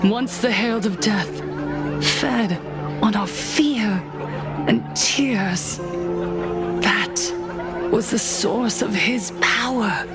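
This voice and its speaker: An actress playing a serene, fervent female cult leader in a video game, preaching in a measured, unctuously polite way. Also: medium pitch, a loud crowd. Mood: rousing, reassuring. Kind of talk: theatrical video game voice acting. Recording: loud music behind the voice. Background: game soundtrack music.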